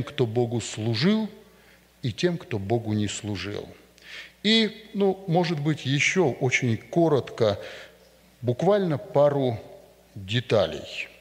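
A man preaching a sermon to a congregation in a church, speaking emphatically and deliberately. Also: medium pitch. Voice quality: emphatic, rising and falling for stress, slow deliberate delivery. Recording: long reverb of a church hall.

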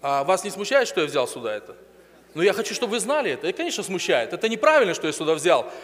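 A man's voice: high-pitched, big pitch swings, quick pace, fairly bright tone. Man preaching in a reverberant church hall. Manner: conversational preaching with hesitations, questions to the congregation. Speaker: pastor. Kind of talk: sermon.

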